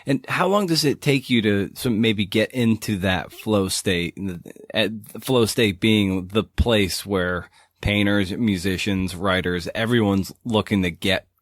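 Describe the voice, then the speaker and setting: A man's voice: fairly low pitch, a little slow, no room sound, clean studio sound. A man hosting a podcast, asking questions in a casual, informal and somewhat rambling way. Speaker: podcast host interviewing. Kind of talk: casual interview chat.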